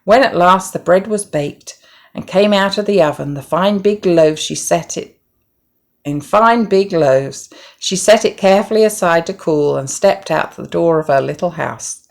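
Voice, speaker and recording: A woman reading a children's story as narrator, with an expressive, deliberate storybook delivery. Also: lowish voice, gravelly.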